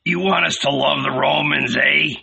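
A man's voice: on the high side, steady tone, normal speed, creaky, bright, forceful tone, breathy edge, muffled recording, pitched above the performer's own voice, full character voice. A man voicing a bitter, red-bearded rebel who grumbles sarcastic questions.

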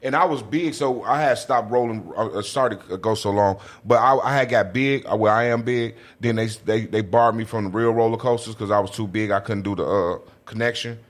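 A man's voice: lowish voice, brisk, few pauses. A man chatting casually as a guest, emphatic and slangy, repeating phrases to drive points home. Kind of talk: casual chat.

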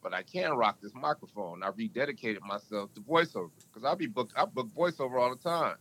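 A man talks through stories in an animated, emphatic way, with a bright, forceful voice. His pitch sits in a middle range, and he can drop it deep when he wants to. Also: brisk, slight background noise, poor audio. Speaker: podcast guest. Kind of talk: casual interview talk.

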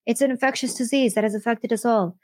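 A woman hosting a political commentary podcast, delivering an indignant, rapid-fire monologue. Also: on the high side, steady tone, a little mellow, dry recording, clean studio sound. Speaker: podcast host.

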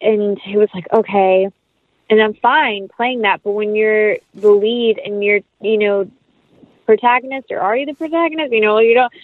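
A woman speaks as an interview guest on a dull, band-limited recording with little room sound. Her pitch is mid-range. She talks in a casual, rambling, informal way. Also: normal speed, no room sound. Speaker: interview guest, film actress.

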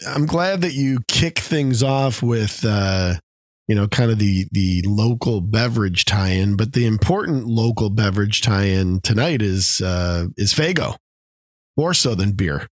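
A man with a low, slightly gravelly voice chats casually as a podcast co-host. He rambles, backtracks and fills pauses with ums. The sound is close and dry, with no room around it. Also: animated, no echo. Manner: rambling, many restarts and fillers. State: slightly gravelly after illness. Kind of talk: casual chat.

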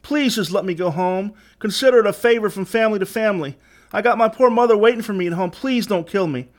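A man playing an exhausted young soldier, desperate, pleading, halting, slightly raised pitch, storybook drama. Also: natural voice. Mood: desperate begging. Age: young adult. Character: exhausted, desperate wounded young Union soldier begging for mercy.

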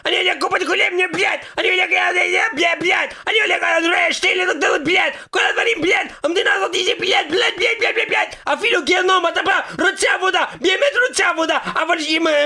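A man puts on a Russian immigrant newly hired as a demon, speaking with a thick Russian accent. He rants and curses in a high, bright, strained voice. When he is not ranting, he turns stiff and overly polite. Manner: shouting, ranting, cursing in Russian.

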